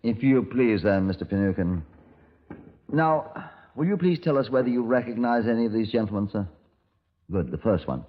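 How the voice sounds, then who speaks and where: Low-voiced police detective. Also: male voice, expressive, normal speed. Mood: formal, dry. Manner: formal, dry, commanding. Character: senior police detective, authoritative, dry, brisk superior. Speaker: detective narrating his case. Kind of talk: 1950s radio drama acting and scripted narration. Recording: dull, lo-fi 1950s radio recording.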